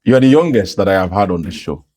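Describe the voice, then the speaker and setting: Male talk-show host, lively pitch, mellow lowish voice, formal and emphatic. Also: fast. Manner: formal, emphatic, repeats the guest's answers back. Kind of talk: interview hosting.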